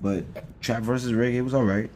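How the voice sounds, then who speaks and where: A man's voice: medium pitch, steady tone, quick pace, a little mellow, slight background noise. A man co-hosting a podcast in casual chat, rambling and joking with lots of fillers. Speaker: podcast co-host.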